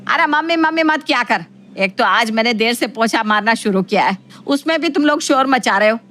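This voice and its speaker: Fussy middle-aged mother-in-law female performer, sharp high voice, domestic story, cleanliness-obsessed nag. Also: expressive, normal speed. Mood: scolding, irritated. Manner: scolding at family, falsely polite to guests. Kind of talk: Hindi audio story acting.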